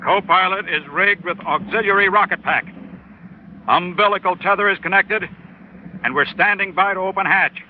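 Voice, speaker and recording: An actor playing a tense, brooding astronaut commander in an old radio drama, clipped and cold. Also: male voice, sits high, moderate pace, natural voice. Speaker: spacecraft commander. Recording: dull old radio recording, faint hiss.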